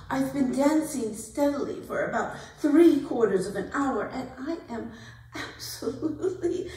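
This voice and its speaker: High-pitched gushing debutante, echoing hall. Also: female voice, full character voice. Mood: giddy, flirtatious. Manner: frantic gaiety, exclamatory, dramatic pauses. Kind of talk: theatrical monologue, staged reading. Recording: very echoey hall, distant mic.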